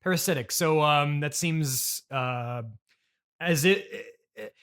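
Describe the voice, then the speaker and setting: An American man hosting a casual improvised comedy interview as a halting, politely baffled straight man. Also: a little high, a bit bright, clean tone. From United States, Illinois. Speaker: podcast host and interviewer.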